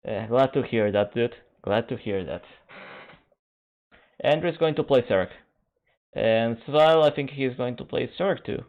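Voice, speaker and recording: Phone-like, halting male stream commentator. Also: medium pitch. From Canada. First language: Spanish. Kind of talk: casual stream chat while waiting for a match. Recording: narrow phone-like band.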